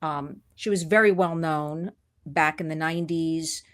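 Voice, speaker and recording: Gushing, animated New woman host. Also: low-pitched, unhurried. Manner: animated, gushing, laughs often. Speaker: podcast host. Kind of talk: enthusiastic interview chat.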